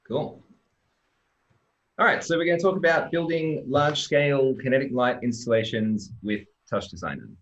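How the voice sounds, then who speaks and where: A man presenting his team's software work on a live-stream, relaxed and chatty with lots of ums. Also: medium pitch, normal speed, muffled recording. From Australia.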